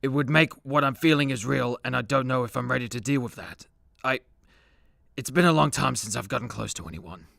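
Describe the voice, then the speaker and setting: Creaky-voiced, weary hard-drinking immortal hero played by a man. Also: medium pitch, a little fast, fairly bright tone, no echo, studio quality. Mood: sheepish, apologetic. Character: weary, hard-drinking immortal Greek superhero, awkward and self-deprecating. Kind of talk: superhero comedy radio play acting.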